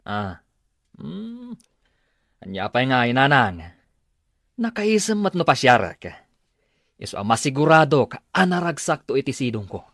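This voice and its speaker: A lovestruck Ilocano suitor in a radio comedy drama, boastful and teasing. His medium-pitched voice rises and falls widely in a dry studio. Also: very animated, unhurried, pauses often, a bit bright, no room sound. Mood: smug, wistful.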